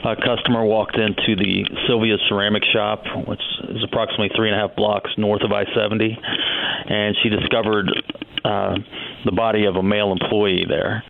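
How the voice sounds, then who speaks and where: Phone-line, gravelly-voiced male police officer. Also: fairly low pitch, little pitch movement, fairly quick. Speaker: assistant police chief. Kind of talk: formal factual account in an interview. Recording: narrow phone-like line with noise.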